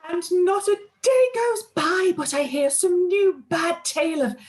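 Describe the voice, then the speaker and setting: Gossipy middle-aged aunt for period radio drama: high-pitched, scandalised, savouring every morsel. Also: female voice, lively intonation, a little slow. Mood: scandalised relish. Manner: dramatic, scandalised, relishing each word. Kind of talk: live-read period radio play.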